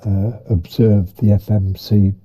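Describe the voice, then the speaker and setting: Man with a low, husky voice and a flat, unhurried delivery on a live stream.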